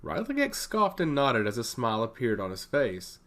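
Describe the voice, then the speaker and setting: Man narrating fantasy, lively pitch. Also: moderate pace. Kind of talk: scripted fantasy story narration.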